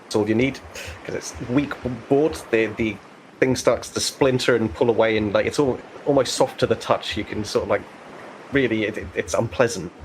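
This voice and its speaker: A man as game master, explaining rules and narrating conversationally, pausing to think aloud. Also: lowish voice, slight background noise, poor audio.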